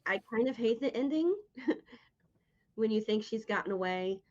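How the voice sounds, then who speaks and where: A woman's voice: fairly high pitch, lively intonation, unhurried, darkish tone, muffled recording. A woman hosting a casual interview, asking questions and chatting about her own opinions. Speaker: interviewer and host.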